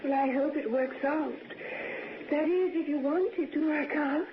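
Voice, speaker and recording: A muffled, hissy vintage radio drama recording. an aristocratic British lady with a high voice, vague and gracious, living slightly in a world of fantasy. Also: unhurried. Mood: gracious, fluttery. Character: genteel, vague, deluded English lady of the manor. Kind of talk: 1960s radio drama acting. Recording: noisy, muffled old radio broadcast.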